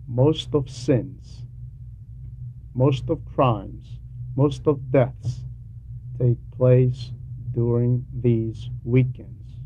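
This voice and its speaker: Slow, deliberate male preacher, muffled recording. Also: medium pitch, steady tone, warm tone. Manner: emphatic, deliberate phrasing with pauses. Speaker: preacher reading a translated homily. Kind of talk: sermon. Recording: dull lo-fi recording with background noise.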